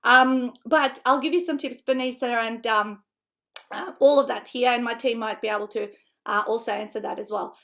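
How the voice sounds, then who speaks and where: A woman's voice: fairly high pitch, normal speed, vocal fry. A middle-aged woman from North Macedonia with a Macedonian-tinged Australian accent, a native Macedonian speaker, energetically presenting an online seminar as a book-writing coach. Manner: energetic, chatty, frequent tag questions and laughs.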